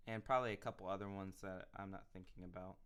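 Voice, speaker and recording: A man live streaming a building game, chatting casually with his viewers and reacting with excited exclamations. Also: deep voice, fast talker, smooth. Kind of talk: casual live-stream chat while gaming.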